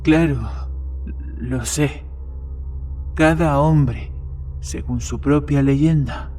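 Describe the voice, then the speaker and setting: A man's voice: a little high, fairly even intonation, drawn-out words, darkish tone, soft background music. A man playing a serious young man who tells eerie legends in a horror story, earnest and ominous. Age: young adult.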